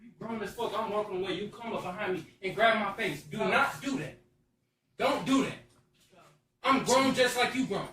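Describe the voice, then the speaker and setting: Brief: young man around eighteen, high and sharp, firm and upset, echoey room with the mic far off. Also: normal speed. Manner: firm, upset, setting boundaries.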